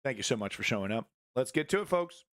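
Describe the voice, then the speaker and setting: A man's voice: mid-range voice, animated, fast talker, a bit bright, a little hiss or hum. A man hosting a live stream, greeting chat viewers and making mocking, sarcastic asides. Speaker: host. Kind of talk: casual live-stream commentary.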